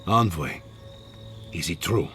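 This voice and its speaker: An actor playing a measured, dry-witted scholar in a fantasy video game, speaking formally and articulately. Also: male voice, sits low, pushed and bright, faint music behind, full character voice. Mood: grave, persuasive. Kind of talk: video game dramatic acting.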